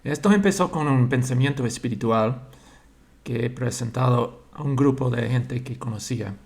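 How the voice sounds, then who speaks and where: A man, a church member slowly sharing his religious thoughts and pausing to search for words in a language he learned later. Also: mid-range voice, a little mellow. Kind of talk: slow personal religious monologue.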